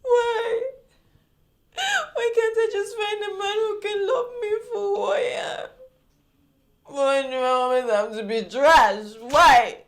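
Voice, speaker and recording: An actress playing a bitter, wounded younger sister in an emotional film drama. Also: female voice, a little high, animated, slow, pauses often. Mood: tearful despair. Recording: roomy echo, music behind.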